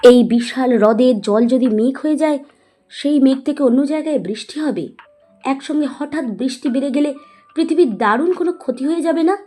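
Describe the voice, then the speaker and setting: A woman's voice: sits high, moderate pace, mellow, soft background music. A woman playing a curious, sceptical young history student in an audio story, questioning and wide-eyed. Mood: wide-eyed, worried. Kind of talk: dramatised audio story acting.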